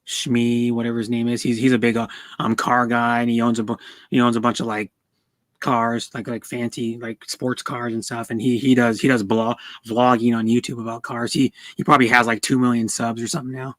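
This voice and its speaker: A man's voice: medium pitch, level tone, fairly quick, no room sound. A man chatting casually about cars on a livestream in a rambling, stammering way, often repeating words. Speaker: streamer. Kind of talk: casual car chat on a livestream.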